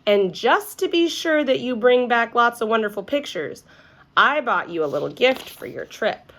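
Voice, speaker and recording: A woman's voice: on the high side, animated, moderate pace, a bit bright. Woman reading as a patient male schoolteacher. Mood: encouraging. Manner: explanatory, encouraging. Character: patient, kindly male first-grade teacher.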